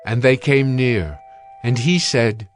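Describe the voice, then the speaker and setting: Male narrator: solemn, measured scripture reading, mid pitch. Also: faint music behind.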